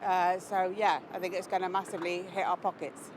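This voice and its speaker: A woman's voice: fairly low pitch, level tone, fairly quick. A woman, a member of the public, speaking casually in a street interview. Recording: faint outdoor traffic noise.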